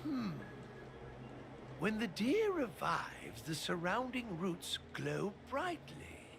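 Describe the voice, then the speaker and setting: An actor playing an elderly, courteous artisan master in a video game, speaking in formal, old-fashioned, theatrical phrases. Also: male voice, sits high, wildly swooping pitch, takes it slowly, vocal fry, background noise, full character voice. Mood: thoughtful.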